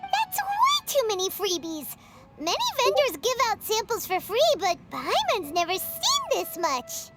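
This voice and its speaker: A tiny floating game sidekick, very high and squeaky, swings wildly in pitch, chatty and eager, over bright game music. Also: female voice, huge pitch swings, a little slow, exaggerated voice. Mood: excited. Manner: refers to herself in the third person, bouncy and eager. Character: tiny floating female companion, excitable and chatty. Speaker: game character.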